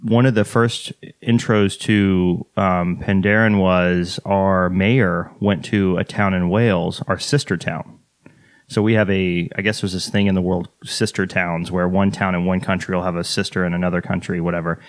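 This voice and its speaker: A man's voice: sits low, flat delivery, moderate pace, no echo. A man co-hosting a podcast, telling stories in a loose, rambling way. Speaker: podcast co-host. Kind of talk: casual podcast chat.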